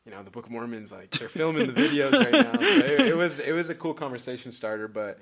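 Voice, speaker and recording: A man's voice: a little high, huge pitch swings, fast talker, vocal fry, crowd noise. A young man from Peru talking casually as a podcast guest, laid-back and rambling with many fillers and restarts. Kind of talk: casual chat.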